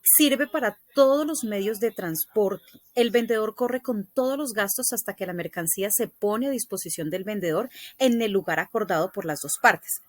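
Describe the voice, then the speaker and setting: A woman's voice: mid-range voice, no echo. Woman reading a scripted lesson, dry sound. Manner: didactic, reading with occasional stumbles. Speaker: presenter explaining a lesson. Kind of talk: scripted explanatory narration, read aloud.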